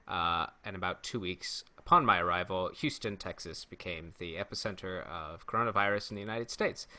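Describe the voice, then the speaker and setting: A man's voice: deep voice, brisk, pushed and bright. A man co-hosting a casual podcast chat with a dry, joking manner. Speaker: podcast co-host.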